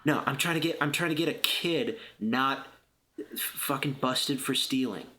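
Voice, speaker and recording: A man playing on an actual play podcast, improvising scenes haltingly and repeating words as he thinks. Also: medium pitch, sharp tone. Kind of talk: improvised tabletop roleplay narration.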